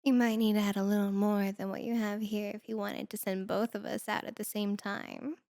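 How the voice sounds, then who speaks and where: A woman's voice: mid-range voice, little pitch movement, moderate pace, a little mellow, no echo, studio quality, close to an everyday voice. A woman voicing a teasing, confident succubus demoness in an ASMR roleplay, with a sultry, coaxing manner. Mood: coy, mocking.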